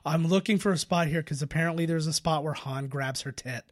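A man's voice: on the high side, brisk. A man co-hosting a podcast, chatting casually through a film commentary and swearing now and then. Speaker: podcast co-host.

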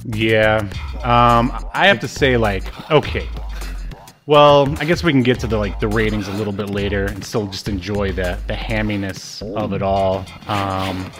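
A man's voice: fairly low pitch, fairly even intonation, normal speed, fairly bright tone, faint music behind. A middle-aged man co-hosting a podcast, talking about old video games in a rambling, informal, amused way. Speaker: podcast host. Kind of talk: casual retro game review chat.

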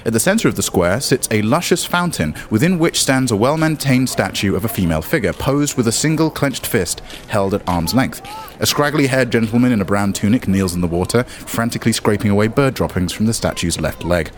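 Low-pitched man running a tabletop game. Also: lively intonation, fairly quick, slight background noise. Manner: wry, joking asides amid descriptive narration. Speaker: game master.

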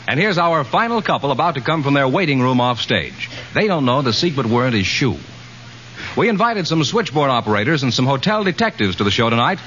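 A man's voice: mid-range voice, very animated, a little fast, a bit bright. A man announcing on an old-time radio quiz show, presenting scores and contestants to the audience. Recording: dull old radio recording, faint noise.

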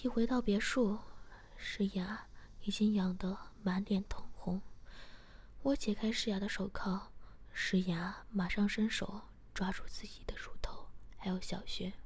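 A woman narrating a story in a soft, steady whisper. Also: medium pitch, measured pace, slightly rough. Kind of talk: whispered audio story reading.

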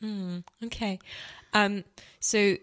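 A woman hosting a podcast, introducing her guest and encouraging her with soft murmurs. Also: mid-range voice, steady tone, extremely slow. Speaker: podcast host. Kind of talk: podcast hosting, casual interview.

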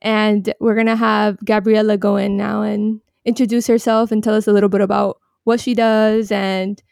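A woman's voice: mid-range voice, little pitch movement, normal speed, gravelly, darkish tone, smooth, dry recording, clean studio sound. A woman co-hosting a podcast, speaking steadily as she welcomes listeners and asks questions. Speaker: podcast co-host.

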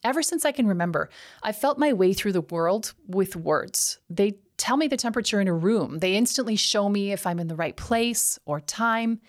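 A middle-aged woman with a slight Canadian accent hosting a scripted solo podcast, enthusiastic and emphatic, slowing down on key words. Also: medium pitch, lively intonation. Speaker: podcast host.

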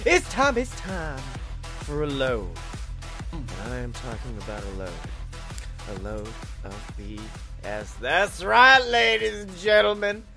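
A man's voice: on the high side, huge pitch swings, takes it slowly, vocal fry, sharp tone, soft background music. A man co-hosting a comedy podcast, chatting casually with boisterous, goofy riffing. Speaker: podcast co-host.

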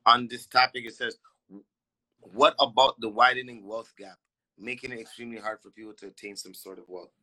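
Laid-back male host with a bright, middle-pitched voice, asks questions casually. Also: fairly even intonation, a little fast, poor audio. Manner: laid-back, calls his guest "brother" and "man". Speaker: podcast host asking questions. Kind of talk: casual interview chat.

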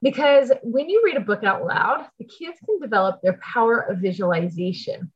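A woman's voice: fairly high pitch, lively intonation, normal speed, dull audio. A middle-aged American woman giving casual podcast advice in a chatty, explanatory way, often checking in with the listener. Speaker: podcast host giving homeschool tips.

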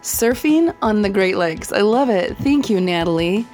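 A woman hosting a podcast, hoarse from a cold, reading an upbeat, grateful intro. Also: mid-range voice, expressive, moderate pace, darkish tone, faint music behind. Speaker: podcast host.

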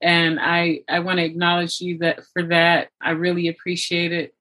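A woman with a slightly low voice speaks as a podcast host, chatting with a guest. Her manner is effusive and full of appreciation, in a conversational interviewing style. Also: monotone, normal speed, pushed and bright, rough recording.

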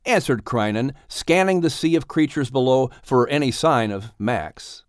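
A man reading a children's audiobook story as a scripted, expressive narrator. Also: medium pitch, measured pace, no echo, studio quality.

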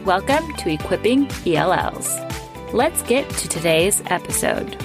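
A woman's voice: medium pitch, sing-song, measured pace, over music. A woman hosting an education podcast, reading a scripted intro in an upbeat, promotional way. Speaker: podcast host.

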